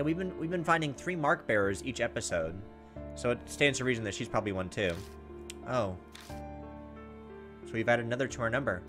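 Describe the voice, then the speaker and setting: A man hosting a gameplay video, reading visual novel text aloud with informal, joking asides. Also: mid-range voice.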